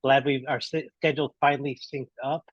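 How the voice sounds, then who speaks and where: A man's voice: mid-range voice, little pitch movement, brisk, husky, dry recording. Upbeat male podcast host, dull dry sound. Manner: upbeat, rambling, restarts sentences. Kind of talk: casual podcast interview.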